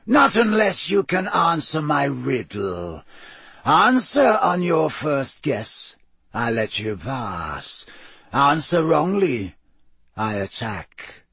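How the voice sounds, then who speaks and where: Guy doing a hoarse sphinx lady from a storybook, super slow n mysterious, lilting all over, quietly threatening. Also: on the high side, big pitch swings, dry recording, full character voice. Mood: calm menace. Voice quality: hoarse, breathy. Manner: slow, deliberate, theatrical recitation. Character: enigmatic female sphinx, riddling and calmly menacing.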